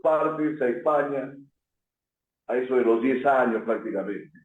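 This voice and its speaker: A man's voice: medium pitch, measured pace. A man hosting a radio interview, asking long, winding questions. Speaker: host. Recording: tinny phone-like line in an echoey room.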